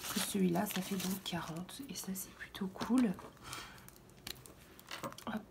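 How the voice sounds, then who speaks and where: A hoarse woman speaking softly and half-whispering at home in the early morning, hesitant and full of fillers as she walks through her weekly budget. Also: medium pitch, fairly even intonation, takes it slowly, noisy background. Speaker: budget vlogger. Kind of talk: casual budget walkthrough.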